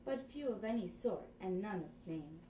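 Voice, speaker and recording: A woman's voice: medium pitch, lively intonation, moderate pace, warm tone, natural voice. A woman acting a formal messenger bringing news of war in Shakespearean stage dialogue. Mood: earnest, formal. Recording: roomy, distant, faint noise.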